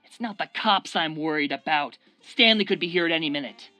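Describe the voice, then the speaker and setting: A man's voice: on the high side, a little fast, sharp tone, no echo, faint music behind. A man playing a paranoid, domineering crime boss in a radio drama, demanding and agitated. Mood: anxious.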